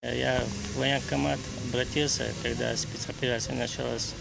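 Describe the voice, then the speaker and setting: A man, a volunteer soldier giving halting, reserved interview answers in short broken phrases. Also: medium pitch, level tone, gravelly, poor audio. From Azerbaijan. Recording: loud music bed under the voice.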